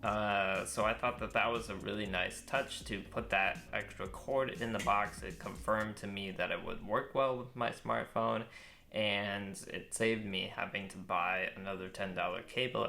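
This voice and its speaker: A young man with a very low, deep voice that has a gravelly edge to it. He talks in a relaxed, thoughtful way, pausing on little ums and uhs as he reviews his gear outdoors. His speech has an easygoing vlog feel. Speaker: vlogger reviewing a microphone. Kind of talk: casual product review. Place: outdoors with birds nearby. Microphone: shotgun mic on phone.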